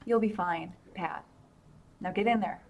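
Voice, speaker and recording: An actress playing a self-assured, businesslike government aide in an audio drama, brisk and frank. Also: female voice, on the low side, creaky, a little mellow, lo-fi sound. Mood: brisk, instructive. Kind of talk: full-cast audio drama acting.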